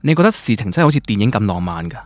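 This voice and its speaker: An actor with a Cantonese accent playing a gentle but opinionated young man in a radio drama. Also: lowish voice, big pitch swings, very fast, no echo. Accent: Cantonese, Guangdong.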